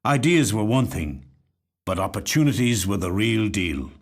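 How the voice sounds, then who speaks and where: Expressive man reading a story. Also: on the low side. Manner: measured, reflective storytelling. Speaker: actor reading short stories. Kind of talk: scripted literary short story narration. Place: recording studio.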